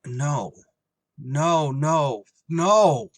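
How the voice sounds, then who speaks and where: A man's voice: a little high, expressive, extremely slow, dry recording, full character voice. A man acting a wry, duty-bound shuttle pilot in a scripted retro radio drama. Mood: alarmed, stern. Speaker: actor and first-person narrator in an audio drama. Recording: clear background noise.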